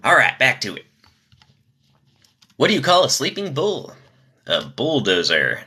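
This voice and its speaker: A young man reading joke after joke on a live stream, pitching his voice low from the diaphragm to spare his nasal voice. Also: background noise. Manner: rapid-fire joke delivery, lively.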